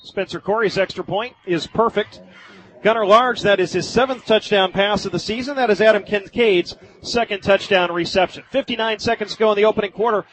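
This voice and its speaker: A man doing live radio play-by-play of high school football in short, clipped phrases. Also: a bit bright, poor audio. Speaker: play-by-play sports announcer.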